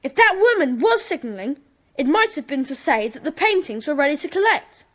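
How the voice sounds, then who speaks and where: A woman's voice: sits high, big pitch swings, a little fast, a bit bright. A woman playing an earnest schoolboy detective in a children's audio drama.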